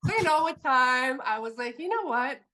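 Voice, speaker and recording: A French Canadian woman, a native French speaker, chatting in a lively way as a podcast guest. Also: high voice, moderate pace. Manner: lively, chatty, laughs easily. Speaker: podcast guest, permanent makeup educator. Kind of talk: podcast interview.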